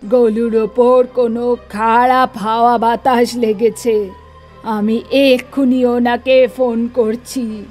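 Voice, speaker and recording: A woman acts out a superstitious old grandmother in a horror cartoon. She speaks very slowly and gravely, in a fairly high but mellow voice. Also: flat delivery, soft background music. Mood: worried, grave. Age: older. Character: concerned old grandmother who believes in spirits. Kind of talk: animated horror story dialogue.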